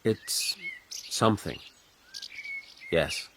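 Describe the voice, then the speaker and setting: An actor playing an earnest, headstrong young aristocrat with an upper-class English accent, formal and resolute, in a period radio drama. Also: male voice, on the low side, lively intonation, drawn-out words, noisy background, full character voice. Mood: searching. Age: young adult.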